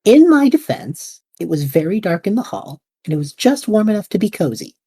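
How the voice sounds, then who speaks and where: A woman's voice: medium pitch, very animated, brisk, warm tone, breathy edge, no room sound, studio quality. A woman voicing a restless young male time traveler who whines and rambles dramatically, in an audio drama. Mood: bantering.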